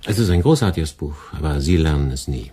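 An actor playing a dry, sardonic middle-aged radio department head with a husky, measured, ironic delivery in a radio play. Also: male voice, very deep voice, lively intonation, warm tone, full character voice. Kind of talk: German radio play acting.

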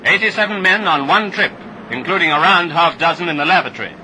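A man's voice: on the high side, expressive, fast talker, pushed and bright, a little hiss or hum, poor audio. A British man narrating a scripted 1940s wartime training film in a jaunty, wry, clipped manner, on a vintage soundtrack.

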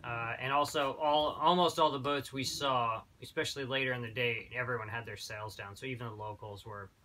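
A man in his thirties giving a relaxed, rambling sailing-trip update from a small boat cabin, with plenty of fillers and restarts. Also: mid-range voice, fairly quick. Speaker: sailing vlogger. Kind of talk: casual travel vlog.

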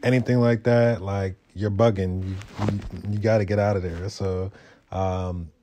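A young man tells a story in a vlog. His voice sits fairly low and has a dark, mellow tone. He talks casually and loosely, in laid-back street slang. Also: steady tone, unhurried, a little hiss or hum. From Brooklyn, New York. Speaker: motovlogger.

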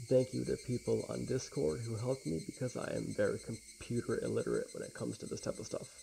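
A quiet, laid-back young man with a gravelly, often breathy voice, streaming a game with casual talk and soft reading aloud. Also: medium pitch, fairly quick, slight background noise, rough recording. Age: young adult. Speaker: let's play streamer. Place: small indoor room with blinds.

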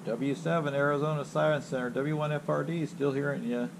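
A man's voice: fairly high pitch, fairly even intonation, brisk, creaky. A middle-aged man doing amateur radio callouts and casual chat to camera outside his house. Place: outside a house on a residential street. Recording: noisy outdoor recording with radio hiss.

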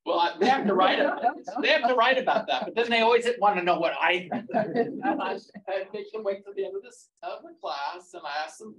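A man answering questions about his book at a roundtable, halting and full of fillers and restarts, in a conference room before an audience. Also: sits high, crowd noise. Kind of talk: academic discussion. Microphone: far room mic. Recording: echoey room, distant mic, faint noise.

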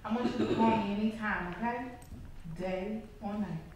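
A woman's voice: medium pitch, moderate pace, close to an everyday voice. An actress on stage playing a tired, blunt, motherly middle-aged waitress with a folksy manner. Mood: firm, caring. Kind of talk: stage play acting. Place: indoor theatre stage. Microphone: far camera. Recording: distant echoey stage recording, noisy.

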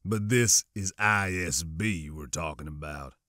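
Man voicing a low, grave, cautious stormtrooper. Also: pushed and bright, dry recording, clean studio sound. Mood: wary. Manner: slow, firm, serious. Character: grave, wary male stormtrooper, guarded and cautioning.

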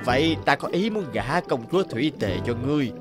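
A benevolent old king of the sea in a cartoon. His delivery is stately and magnanimous, with varied pitch over music. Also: sing-song, a little fast. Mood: gracious, stately. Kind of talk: cartoon dialogue.

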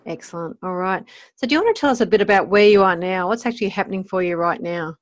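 A woman hosts a podcast interview. She speaks briskly at a medium pitch, putting her questions in a casual, conversational style.

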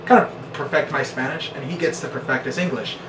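A man's voice: fairly high pitch, fast. An American man in his thirties, casually telling a story to camera as a vlogger. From United States. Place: small bedroom indoors. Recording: roomy echo, distant mic, noisy background.

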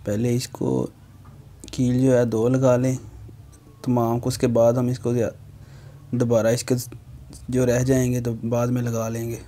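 Monotone young man. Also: mid-range voice, measured pace, pauses often. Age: young adult. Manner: plain, instructional. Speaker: how-to video host. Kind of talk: step-by-step tutorial narration.

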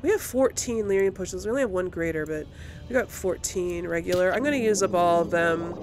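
A woman's voice: lowish voice, a little fast. A woman hosting a video game playthrough, chatting informally and thinking aloud as she plays. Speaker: gaming host. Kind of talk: casual let's play commentary. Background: game music.

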